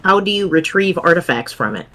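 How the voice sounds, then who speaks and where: A woman voicing a cocky, deadpan teenage boy in an amateur dramatic reading of a webcomic. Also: lowish voice, a little fast, slight background noise. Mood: cool, cocky. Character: cool, cocky teenage boy chatting online, teasing and bragging.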